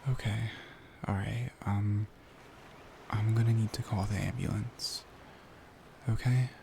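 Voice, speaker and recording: A man playing a caring, worried boyfriend in an intimate ASMR roleplay, speaking softly and soothingly, often near a whisper. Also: fairly low pitch, fairly even intonation, slow, vocal fry, a little hiss or hum. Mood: anxious, soothing. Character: caring, worried young boyfriend comforting his partner.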